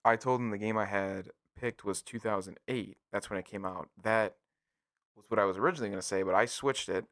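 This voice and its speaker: A man's voice: lowish voice, a little fast, fairly bright tone, dry recording, clean studio sound. An American man co-hosting a casual gaming podcast, chatting informally and jokily and talking straight to listeners. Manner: jokey, informal, addresses listeners directly. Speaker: podcast co-host.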